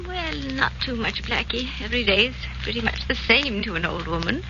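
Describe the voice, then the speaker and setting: Confused old aunt, old radio. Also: female voice, a little high, very animated, moderate pace. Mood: bewildered. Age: older. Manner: hesitant, repeats herself. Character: confused, insistent old aunt, gentle and forgetful. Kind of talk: 1940s radio acting. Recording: muffled, noisy 1940s radio recording.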